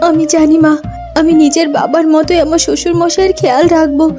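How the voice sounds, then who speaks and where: A woman's voice: sits high, little pitch movement, fast talker, warm tone, lightly acted. A woman playing a young princess in a fairytale cartoon, a loving, earnest and emotional daughter. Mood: earnest, devoted. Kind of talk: cartoon voice acting. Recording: music behind the voice.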